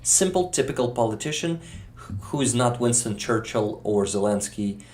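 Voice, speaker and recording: A Ukrainian man speaking English with a Ukrainian accent, talking as a guest in a casual interview, halting with many fillers. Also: mid-range voice, animated, darkish tone.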